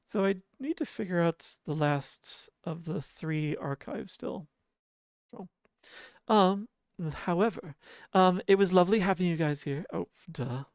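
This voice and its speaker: A game streamer with a sleepy-sounding voice, chatting casually and softly with viewers, often chuckling and humming idle filler tunes. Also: medium pitch, a little slow, no echo, clean studio sound. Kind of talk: casual livestream chat.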